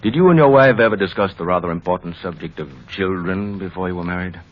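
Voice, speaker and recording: Deep-voiced fatherly old doctor, muffled radio. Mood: sympathetic. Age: older. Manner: paternal, oratorical, with dramatic pauses. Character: fatherly, opinionated older family doctor, solemn and persuasive. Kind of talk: old time radio drama acting. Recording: muffled old radio recording, faint noise.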